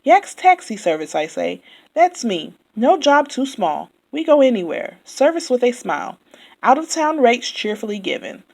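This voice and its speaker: A woman voicing a chatty male yak taxi driver who never stops talking, loud and indignant. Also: fairly high pitch, sing-song, moderate pace, creaky, full character voice. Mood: chattering.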